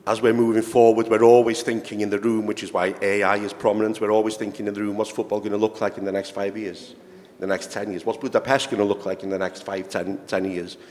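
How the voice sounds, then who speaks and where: A man speaking as a sporting director on a conference panel, measured and repeating words as he builds his points. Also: lowish voice, level tone, darkish tone. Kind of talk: panel discussion. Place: conference hall. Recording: reverberant hall with faint noise.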